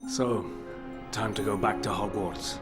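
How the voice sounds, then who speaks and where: A man's voice: on the low side, steady tone, measured pace, music in the background, close to an everyday voice. An actor playing a middle-aged, world-weary but caring godfather in a video game, with a British accent. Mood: tender. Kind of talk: video game cutscene acting.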